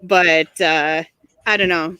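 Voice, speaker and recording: Bright, dry-voiced woman chatting and laughing. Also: on the low side, steady tone, takes it slowly, clear, resonant tone, no room sound. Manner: laughs often, sarcastic, filler-heavy storytelling. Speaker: guest, motorcycle video creator. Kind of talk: casual livestream chat. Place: home garage.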